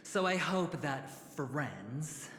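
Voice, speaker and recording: Slow, suave scheming gambler. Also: male voice, fairly high pitch, animated, heavily acted. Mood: coolly confident. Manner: suave, theatrical, teasing, with drawn-out phrasing and soft chuckles. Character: smooth, scheming young male high-stakes gambler and corporate negotiator. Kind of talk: video game cutscene voice acting. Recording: roomy reverberant sound under loud music.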